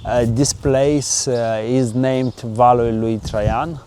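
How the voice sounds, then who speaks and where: A man from Moldova with a Romanian accent, a native Romanian speaker, answering interview questions about his winery's exports in halting English full of pauses and fillers. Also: mid-range voice, fairly even intonation, warm tone, slight background noise. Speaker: winery export sales manager.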